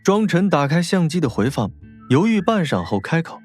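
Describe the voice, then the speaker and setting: A man narrates a novel in a dramatic audiobook style. His voice sits a bit high and moves expressively, with faint music underneath. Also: big pitch swings, moderate pace.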